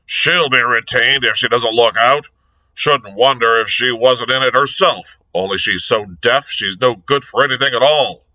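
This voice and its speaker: A man's voice: mid-range voice, expressive, a little slow, few pauses, sharp tone, full character voice, poor audio. A man voicing a stubborn, harassed police inspector who grumbles morosely and scornfully.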